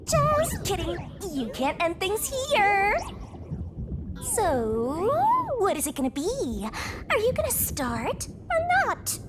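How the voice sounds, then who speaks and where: Tiny bratty spirit mascot from a video game, super high voice, takes its time, cutesy but bossy. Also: female voice, wildly swooping pitch, slow, over loud music, exaggerated voice. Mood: teasing, goading. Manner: cutesy, bratty, playfully menacing. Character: cutesy mischievous little female spirit creature, teasing and bossy. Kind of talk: animated video game character acting.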